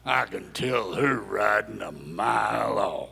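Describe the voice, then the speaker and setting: A man playing a gruff senior outlaw henchman with an American drawl in a live Western radio play. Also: lowish voice, animated, slow, bright, forceful tone, exaggerated voice. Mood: gruff, knowing. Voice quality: gravelly, rough. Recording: live stage hall, long reverb.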